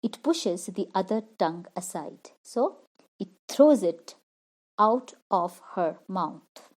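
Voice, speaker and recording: Lady going through a poem slow, says everything twice, soft dark voice. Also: medium pitch, animated. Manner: slow, repeats and rephrases each point. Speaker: literature teacher. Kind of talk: explaining a poem line by line.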